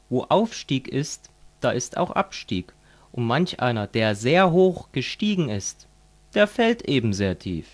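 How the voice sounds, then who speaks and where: A man's voice: mid-range voice, close to an everyday voice. A man playing a fervent communist who lectures a friend in a didactic, declamatory way, as in an amateur radio play. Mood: lecturing.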